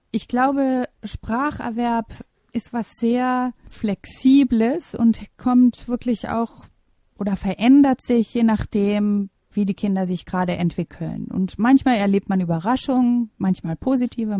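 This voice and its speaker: A middle-aged woman answers interview questions in a calm, reflective way, often pausing to find her words. Her voice is warm and mellow. The recording is dry and close, with no room sound. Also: fairly high pitch, dry recording. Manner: thoughtful, measured, with hesitations. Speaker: interviewee, German teacher.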